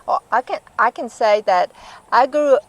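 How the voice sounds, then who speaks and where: Middle-aged woman from Vietnam, author interviewee; halting, emotional delivery. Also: a little high, rough recording. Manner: halting, moved, searching for words.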